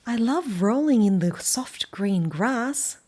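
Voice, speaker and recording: A woman with an Australian accent voicing a friendly, proud young male storybook character, speaking slowly and deliberately for a children's read-aloud. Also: on the high side, animated, darkish tone, husky, full character voice. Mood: proud, happy.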